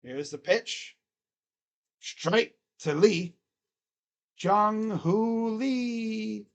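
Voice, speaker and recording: A live-stream host giving casual play-by-play of a baseball game in a loud, booming voice, chatting between pitches. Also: lively intonation, extremely slow.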